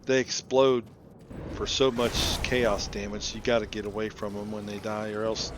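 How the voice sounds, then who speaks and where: A man's voice: on the low side, level tone, moderate pace, background noise. A man giving casual gameplay commentary as host of a playthrough, talking informally and thinking aloud. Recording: loud music behind the voice. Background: game music.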